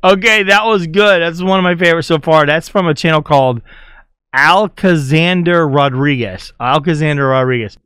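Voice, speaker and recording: A man's voice: on the high side, normal speed, a bit bright, clear, resonant tone, no echo, studio quality. A man hosting a casual reaction video, commenting on game clips and playfully reading captions aloud. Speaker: YouTube host.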